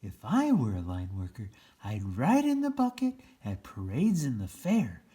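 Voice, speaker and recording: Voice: mid-range voice, huge pitch swings, a little slow, dark tone. Çok değişken tonlamalı, ağır tempolu çocuk kitabı okuyucusu. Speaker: children's story reader. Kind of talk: children's picture book read-aloud.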